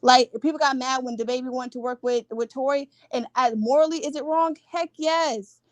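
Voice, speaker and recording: A woman's voice: sits high, steady tone, moderate pace, dry recording. A woman in a casual, opinionated gossip chat, speaking with a stutter and driving her slangy points home emphatically. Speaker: gossip show panelist.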